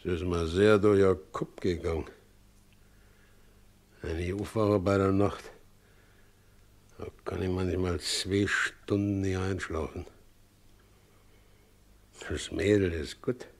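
An actor playing a good-natured, plain-spoken widowed carter of middle age in a 1960s radio play, brooding and hesitant, with rustic phrasing. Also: male voice, low-pitched, darkish tone.

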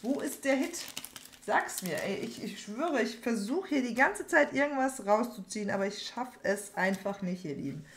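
A young woman talks in a rambling, informal way as she opens card packs. She often repeats herself. The room is echoey, with clear noise behind her. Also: fairly high pitch, lively intonation, moderate pace. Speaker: trading card opening host. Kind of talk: casual chat while opening card packs. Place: small room at home, indoors. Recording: roomy echo, background noise, card crinkling.

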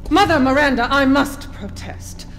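A woman's voice: medium pitch, big pitch swings, unhurried. Elegant menacing noblewoman, condescending, swooping intonation, game villain, long hall reverb. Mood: haughty, indignant. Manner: haughty, refined, condescending. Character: haughty aristocratic giant vampire lady, elegant and menacing mother. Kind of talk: video game cutscene acting. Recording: reverberant hall, music underneath.

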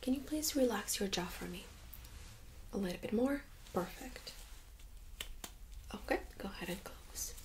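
A young woman plays a medical examiner in an ASMR role-play, almost whispering in a medium-pitched voice. Her delivery is gentle, polite and methodical. There is audible noise behind her soft words. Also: lively intonation, a little slow, long pauses. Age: young adult. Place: small indoor studio room.

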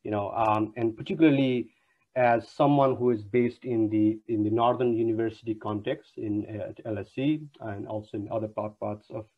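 A man's voice: on the low side, steady tone, moderate pace. A man in his thirties from Nepal, a university lecturer introducing an online panel, speaking formally with many fillers and restarts. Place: home room on a video call. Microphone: wired earphone mic on a video call. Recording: dull lo-fi video call audio.

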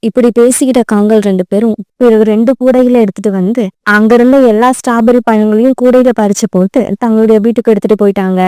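A woman's voice: a little high, fairly even intonation, fast, mellow, smooth, no room sound. A woman narrating a children's story from a script.

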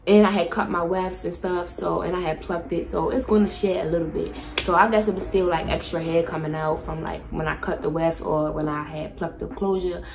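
A woman's voice: on the low side, steady tone, fairly quick, creaky, hardly any pauses, a little mellow. A young woman vlogger giving a casual, chatty product review, speaking candidly with plenty of slang and swearing. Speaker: beauty vlogger.

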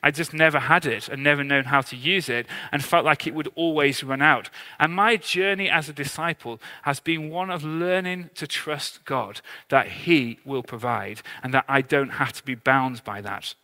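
A man's voice: a little high, moderate pace, few pauses, pushed and bright. A man around forty, preaching an informal sermon in a conversational, earnest, self-deprecating way. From England. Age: 30s to early 40s. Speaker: pastor, church leader. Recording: long hall reverb on preaching voice.

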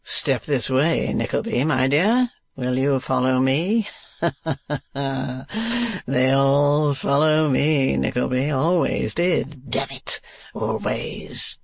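A male audiobook narrator voicing a vain, foppish gentleman with a mincing, affected manner. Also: medium pitch, takes it slowly, gravelly, no room sound. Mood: drawling. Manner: mincing, affected, swearing lightly. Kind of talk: audiobook character reading.

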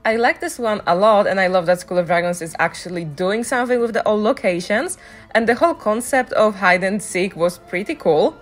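A woman's voice: medium pitch, animated, fairly quick, faint music behind. A woman giving casual walkthrough commentary as a gaming guide, speaking in voice-over. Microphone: voice-over on a microphone, game music behind.

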